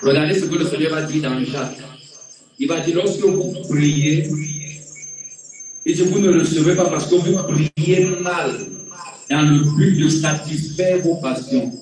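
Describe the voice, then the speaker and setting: A high-pitched man delivers a slow, deliberate sermon, restating his points for emphasis and rising and falling in force. His voice carries from a distance with heavy room echo. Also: a little hiss or hum. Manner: repeats key phrases for emphasis, swings between quiet and loud. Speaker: pastor and preacher. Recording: very echoey room, distant mic.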